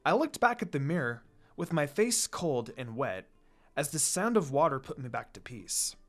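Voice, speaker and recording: An expressive reader and channel host narrating anime fan fiction in a casual, storytelling style. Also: mid-range voice, normal speed. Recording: background music.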